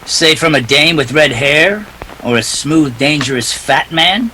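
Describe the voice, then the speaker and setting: A man's voice: on the high side, unhurried, sharp tone, a little hiss or hum, low-quality recording. A man acting a wisecracking, cool private detective in a 1940s radio play, clipped and wry. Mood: wry, unruffled.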